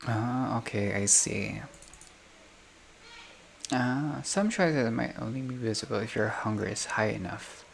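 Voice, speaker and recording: A gamer reading visual novel text aloud in a halting way, breaking off for casual asides and reactions. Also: medium pitch. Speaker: gaming video creator playing a visual novel. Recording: clearly noisy home recording, unstable audio.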